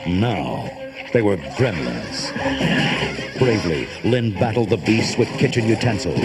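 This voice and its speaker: A man narrating a children's storybook in a dramatic, expressive way. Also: lowish voice. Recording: cassette transfer with loud music behind. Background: loud music.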